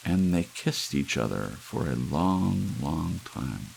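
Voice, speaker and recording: Male storyteller for ASMR audiobook: very low, creaky, expressive pitch movement, soft rain underneath. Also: slow pace. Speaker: audiobook narrator. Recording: faint hiss with rain sound.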